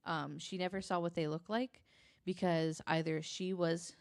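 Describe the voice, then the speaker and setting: A woman recounting true crime cases in a conversational, halting and informal way, hedging as she works from notes. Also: fairly low pitch, steady tone, vocal fry, no room sound, studio quality. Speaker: podcast guest.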